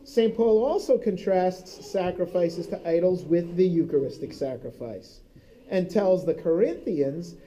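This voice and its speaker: High-voiced man leading a Bible study. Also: a little slow, mellow, highs cut off. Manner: conversational, keeps checking in with "right?". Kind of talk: teaching a group discussion. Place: church meeting room.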